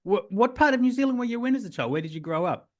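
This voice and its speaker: Enthusiastic podcast host. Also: male voice, very high-pitched, lively intonation, quick pace, a little mellow. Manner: enthusiastic, admiring. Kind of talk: podcast interview.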